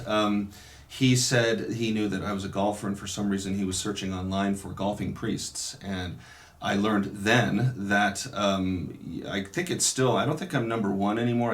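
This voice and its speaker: This is a man with a notably low voice, speaking in a relaxed interview setting. He talks through his memories thoughtfully, pausing often and circling back to restart his sentences. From California. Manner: reflective, unhurried, many pauses and restarts. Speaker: Dominican priest. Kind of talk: casual interview, telling his life story.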